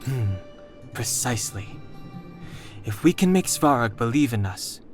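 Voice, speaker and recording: A man playing a composed, analytical young man in a video game, measured and dry in delivery. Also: medium pitch, expressive, faint music behind, lightly acted. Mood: calm, reasoning. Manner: measured, matter-of-fact, dry.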